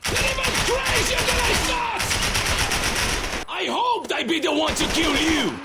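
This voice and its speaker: An actor playing an arrogant, furious villain with a Brazilian accent, taunting and contemptuous in a video game. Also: male voice, very high voice, sing-song, measured pace, heavy background noise, exaggerated voice. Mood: mocking, manic.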